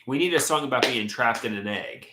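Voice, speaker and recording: A man's voice: mid-range voice, fairly quick, reverberant, background noise. A man hosting a casual livestream, reading chat comments aloud with a stuffy, congested nose and a rambling, hesitant way of talking. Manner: rambling, hesitant, trails off mid-thought. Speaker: livestream host.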